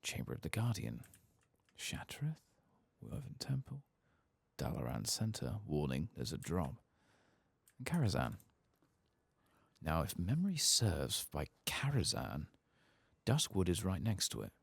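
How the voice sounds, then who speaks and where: A soft-spoken man giving relaxed, musing ASMR commentary on a game playthrough, close to the mic and at times near a whisper. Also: a little slow, vocal fry, pauses often, slightly rough, no echo. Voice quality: soft, low-volume voice, sometimes dropping to a whisper. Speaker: gaming ASMR channel host. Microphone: close, dry microphone.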